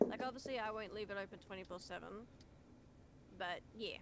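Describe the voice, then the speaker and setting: A woman chats casually as she hosts a gaming stream, playful and informal with the odd swear. Her pitch sits in the middle for a woman. There is clear noise in the recording. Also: brisk. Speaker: live streamer hosting a group voice chat.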